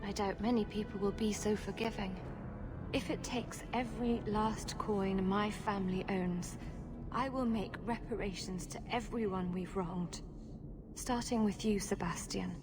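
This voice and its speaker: An actress playing a proud, contrite noblewoman in a video game, formal and measured, set on making amends. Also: mid-range voice, steady tone, over music, lightly acted. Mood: contrite, resolute. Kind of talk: video game cutscene acting.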